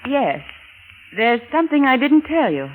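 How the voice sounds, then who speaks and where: Cold, scheming radio-drama murderess, lively pitch. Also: female voice, a little hiss or hum, low-quality recording. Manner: coolly deliberate, taunting. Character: cold, scheming, unfaithful wife turned killer. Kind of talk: 1940s radio suspense acting. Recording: vintage radio recording with music behind.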